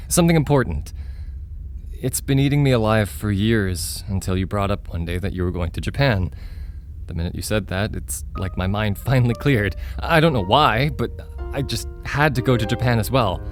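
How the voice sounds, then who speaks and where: A man acting an excitable, forgetful young man who tells his story in an earnest, conversational way for an audio drama. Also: lowish voice, normal speed, faint music behind, natural voice. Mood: earnest, puzzled.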